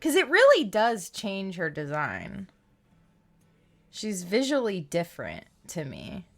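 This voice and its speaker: Woman, game streamer, casual commentary, amused asides, very animated pitch, leisurely pace. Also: slow pace.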